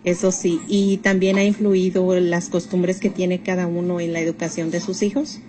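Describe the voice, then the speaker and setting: A woman's voice: on the low side, little pitch movement, normal speed. A woman putting survey questions to someone as an interviewer. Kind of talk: reading survey questions to a passer-by. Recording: noisy on-location interview recording.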